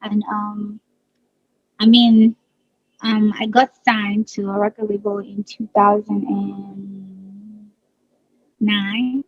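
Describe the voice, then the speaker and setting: A Nigerian woman in her thirties, a singer chatting casually in an interview, relaxed and quick to laugh, her sentences trailing off softly. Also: mid-range voice, dull audio.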